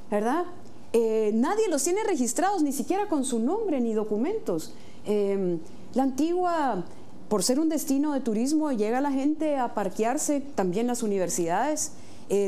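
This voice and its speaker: A woman from Guatemala, a mayor-elect, giving earnest explanatory answers in a studio interview, often pausing on "eh". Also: a little high, mellow, a little hiss or hum. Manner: earnest, explanatory, frequent "eh" hesitations.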